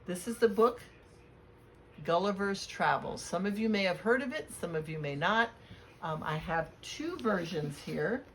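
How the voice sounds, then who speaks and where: Woman, slightly low voice, storybook read-aloud, deliberate expressive delivery, background noise. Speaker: children's read-aloud reader at a library.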